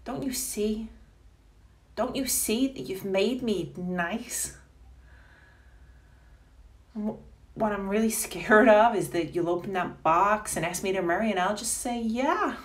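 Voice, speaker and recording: A woman's voice: medium pitch, far from the mic, natural voice. An actress playing a cold, self-declared mean woman in a melodramatic, pleading stage monologue. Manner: pleading, melodramatic, deliberate with hesitations. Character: cold, self-declared bitch begging not to be proposed to.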